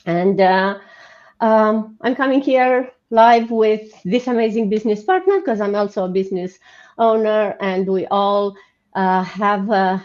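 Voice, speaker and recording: A woman's voice: mid-range voice, measured pace. Romanian-accented woman hosting, slightly muffled sound. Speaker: host. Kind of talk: informal livestream hosting. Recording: muffled, band-limited online stream audio.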